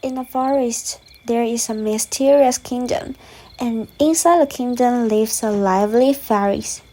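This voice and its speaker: A woman's voice: fairly high pitch, unhurried, dark tone. A woman narrating a radio drama from a script.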